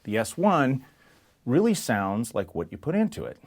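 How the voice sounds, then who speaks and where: A man's voice: mid-range voice, huge pitch swings, normal speed. A man presenting for a music store, comparing products in a casual, plain-spoken, conversational way as he explains things to the viewer.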